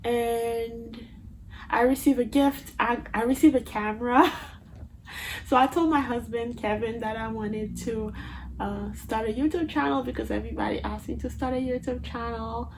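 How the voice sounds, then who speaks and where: A woman's voice: on the high side, fairly even intonation, moderate pace, a little hiss or hum, rough recording. A woman in her twenties with a Haitian Creole accent, talking casually to her viewers in a chatty, playful vlog, recorded on a phone. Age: 20s. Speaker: vlogger. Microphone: phone camera.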